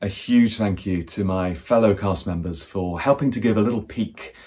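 A man's voice: fairly low pitch, normal speed, a little mellow. A man in his forties hosting an online panel and putting questions to guests from his living room. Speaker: host of an online cast panel. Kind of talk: interview questions and presenting. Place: living room at home, video call.

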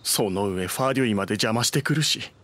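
A man's voice: fairly low pitch, fairly quick, a bit bright, natural voice. Guy voicing cranky middle aged bookworm in anime game, snappy, self important, bit of background noise. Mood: bitter. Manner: curt, irritable, pompous. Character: grumpy, short-tempered middle-aged book collector. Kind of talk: anime video game dialogue.

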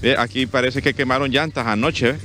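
Bright-voiced reporter on a roadside. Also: male voice, medium pitch, fairly even intonation, a little fast, faint music behind. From Honduras. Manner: hesitant, many fillers. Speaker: field reporter. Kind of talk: live news field report. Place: outdoors on a roadside. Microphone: handheld mic.

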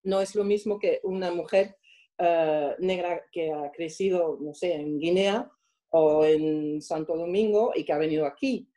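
A woman with a French accent answers interview questions in a mellow, slightly low voice. She speaks reflectively and haltingly, often stopping to hunt for the next word. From France. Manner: halting, searching for words. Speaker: interviewed guest.

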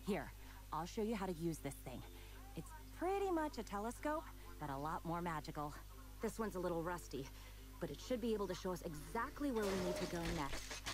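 A woman's voice: lowish voice, very animated, fairly quick, over music, poor audio. An actress playing a young, wry, capable female animal adventurer in a cartoon video game, confident and sarcastic. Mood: helpful.

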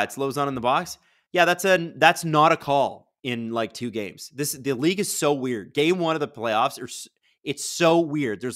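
A man in his thirties with a booming voice, hosting a casual live watch-along from a studio, chatting to viewers and breaking into excited play-by-play. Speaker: livestream host.

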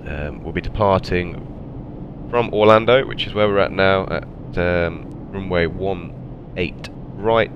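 A low-pitched man talks through his flight deck setup in a relaxed, chatty way, full of ums and okays. He has a cold, and his voice sounds slightly raspy. A constant hum of cockpit ambience sits clearly behind him. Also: measured pace, highs cut off. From England. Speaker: flight simulator player. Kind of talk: casual narrated cockpit walkthrough.

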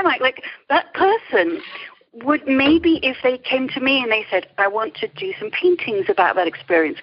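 A woman's voice: a little high, husky. A middle-aged woman answering interview questions conversationally, in a thoughtful, hesitant way. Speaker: interview guest, creativity coach. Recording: dull, lo-fi radio-interview sound.

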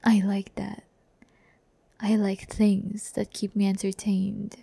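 Woman as teasing female demon, haughty yet tender, ASMR roleplay, creaky, unhurried, close dry sound. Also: mid-range voice, completely flat delivery, warm tone, no room sound, full character voice. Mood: amused, teasing. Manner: slow, breathy, teasing, often near whisper.

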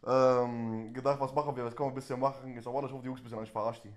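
A man's voice: mid-range voice, level tone, rapid-fire. A man livestreaming in casual chat, his street slang sprinkled with Arabic and Turkish words. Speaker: live streamer and prank caller.

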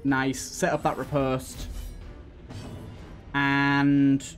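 A man's voice: mid-range voice, completely flat delivery, extremely slow. A man giving casual video game commentary, thinking aloud and often repeating himself. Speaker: let's play host. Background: game music.

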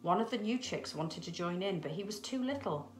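A woman's voice: mid-range voice, lively intonation, brisk, faint music behind. A middle-aged woman narrating a children's story expressively, recorded at home. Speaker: storybook reader. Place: small room at home, indoors. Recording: echoey domestic room.

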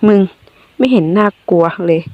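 Brief: woman reads a prickly young guy, pitched up and strained, lo-fi audio. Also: normal speed, no echo, natural voice. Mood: teasing. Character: stubborn young man, queasy and touchy.

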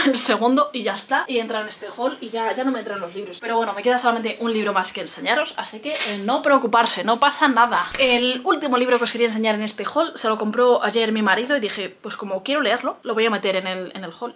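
Young woman, Spain Spanish accent, quick chatty book vlogger, rambles and restarts. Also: medium pitch, fairly bright tone, slight background noise. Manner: chatty, rambling, enthusiastic, often restarts phrases. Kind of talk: casual chat to camera.

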